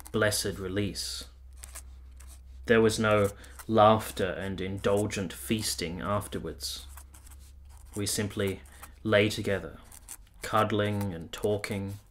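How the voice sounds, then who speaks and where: A man playing an ardent, self-regarding young army officer, narrating a period radio drama in a formal, measured, literary manner. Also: lowish voice, a bit bright. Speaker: narrator and lead character.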